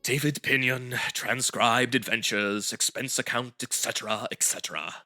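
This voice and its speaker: An actor playing a put-upon, deflated announcer in an old-time radio comedy. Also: male voice, mid-range voice, fairly even intonation, moderate pace, over loud music, lightly acted. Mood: dejected.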